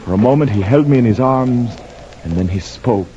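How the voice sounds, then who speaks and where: A man's voice: mid-range voice, expressive, warm tone, lightly acted. An actor playing a haunted, penitent mariner who tells his tale in grave, poetic radio-drama verse. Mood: solemn awe. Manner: slow, solemn, impassioned, poetic delivery. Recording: dull lo-fi radio recording, clear noise.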